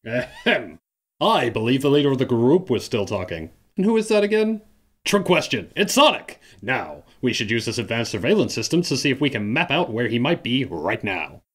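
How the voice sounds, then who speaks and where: A man's voice: medium pitch, big pitch swings, normal speed, breathy edge. A self-important male echidna who talks theatrically and crowns himself leader, played by a man. His voice swings widely, giving every line a grand, showy lilt. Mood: pompous. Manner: theatrical, pompous, melodramatic. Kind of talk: fan audio drama acting.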